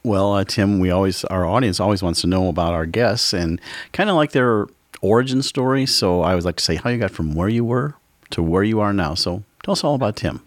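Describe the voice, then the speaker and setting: A male co-host of a public radio arts show, chatty and relaxed, with many filler words. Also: sits low, a little fast, no room sound.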